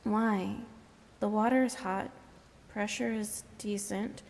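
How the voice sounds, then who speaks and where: A woman's voice: medium pitch, steady tone, slow, creaky, a little mellow. A woman playing a weary, no-nonsense carer with a blunt, hesitant manner in naturalistic audio drama. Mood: exasperated. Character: weary, no-nonsense woman tending an injured man.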